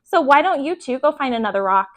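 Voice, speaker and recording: A woman's voice: high voice, lively intonation, fast. A woman voicing a bossy, eager know-it-all fourth grade girl in a children's storybook, insistent and sing-song. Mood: defiant.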